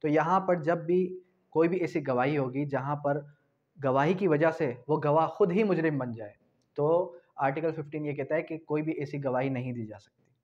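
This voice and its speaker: A man's voice: fairly high pitch, moderate pace. A man in his twenties with a Pakistani accent gives an explanatory law lesson, posing questions and answering them himself. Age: 20s. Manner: didactic, poses questions and answers them, repeats key points. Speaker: law lecturer. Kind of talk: explanatory lesson at a whiteboard, switching into English legal terms. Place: small indoor room with whiteboard. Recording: dull, lo-fi sound.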